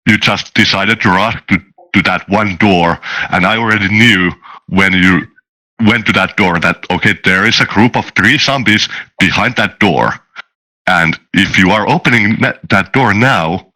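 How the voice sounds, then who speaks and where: A man's voice: sits low, pushed and bright, low-quality recording. A man telling game-master anecdotes casually on a podcast, circling back and repeating phrases as he goes.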